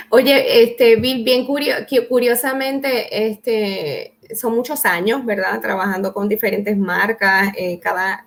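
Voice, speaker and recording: A woman hosting a conversational interview, asking long questions full of fillers and restarts. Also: medium pitch. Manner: hesitant, many restarts and fillers. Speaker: host.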